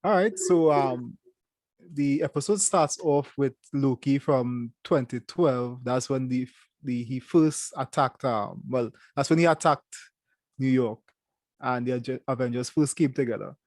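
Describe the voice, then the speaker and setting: A young man with a Trinidadian accent, co-hosting a casual show review and talking in halting bursts with many restarts. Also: medium pitch, darkish tone, no room sound. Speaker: co-host.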